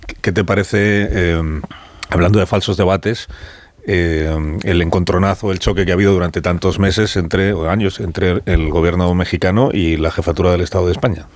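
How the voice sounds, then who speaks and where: A male radio host with a low voice and an accent from Spain moderates a panel in a conversational way. He circles back and rephrases as he goes. The studio sound is dry. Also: steady tone, normal speed, no echo. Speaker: radio talk show host.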